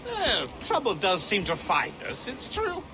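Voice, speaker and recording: A man's voice: sits high, wildly swooping pitch, measured pace, heavily acted, low-quality recording. An actor playing a jovial, evasive male first mate in a dramatic video game. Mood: wry.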